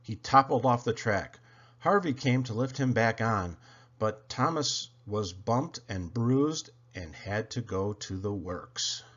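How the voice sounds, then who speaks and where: A man reading a children's story aloud with expressive, animated storytelling. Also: fairly low pitch, slow, highs cut off. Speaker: storybook reader.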